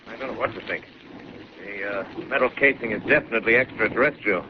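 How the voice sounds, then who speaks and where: Calm professor type, a man acting it, dry clipped lines, lowish voice, muffled hissy old radio. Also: fast talker, lightly acted. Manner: dry, clipped scholarly answers. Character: calm, measured scientist professor, older man. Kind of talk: 1930s radio drama acting. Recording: dull, hissy 1930s broadcast from off-mic.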